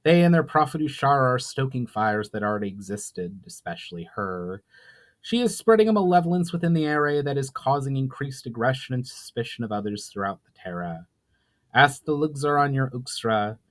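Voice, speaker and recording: A man's voice: medium pitch, expressive, a little fast, lightly acted. A man voicing a courteous, earnest elf envoy with formal wording in a fantasy story. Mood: grave.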